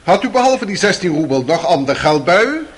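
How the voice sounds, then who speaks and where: A man's voice: sits high, sing-song, brisk. An actor playing a stern, accusing prosecutor in a radio courtroom drama, formal and pointed in his questioning. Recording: noisy old radio play recording.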